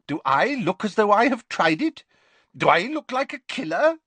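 A man voicing a flustered, uneasy older wizard professor in an audiobook, gruff, faltering and emphatic. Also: high voice, sing-song, moderate pace, sharp tone, dry recording, voice pushed up, full character voice. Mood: offended, gruff. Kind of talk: audiobook character voice.

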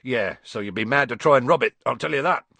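A man voicing a huge, gruff, good-natured giant man with a blunt, homely way of talking. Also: mid-range voice, fast talker, sharp tone, no echo.

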